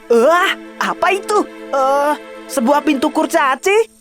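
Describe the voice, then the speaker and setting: A woman's voice: sits high, expressive, takes it slowly, a bit bright, faint music behind, exaggerated voice. A woman voicing a mischievous, curious young boy in a children's storybook, exclaiming playfully. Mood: amazed, curious. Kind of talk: children's storybook character acting.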